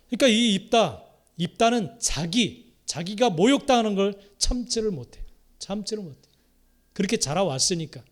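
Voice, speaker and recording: A middle-aged Korean man from the Chungcheong region, a pastor preaching a sermon who repeats key phrases and swings between loud exhortation and quiet asides. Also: high voice. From Korea, Chungcheong province.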